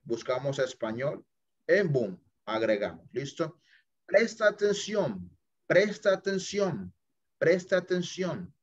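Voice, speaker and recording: A man's voice: a little high, big pitch swings, slow, breathy edge. A man teaching an English class over a video call, walking students through an app and checking in with them often, mixing in English phrases. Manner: chatty, checks in with "listo?" and "ok?", mixes English phrases. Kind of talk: screen-share app walkthrough for students. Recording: dull lo-fi video call audio.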